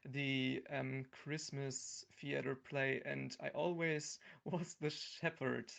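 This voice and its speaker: A man's voice: medium pitch, level tone, slow, creaky, fairly bright tone. A young man with a German accent chats casually in English as a second language, hesitating and searching for words. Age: young adult. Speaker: podcast guest, amateur theatre group member. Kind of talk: casual interview chat.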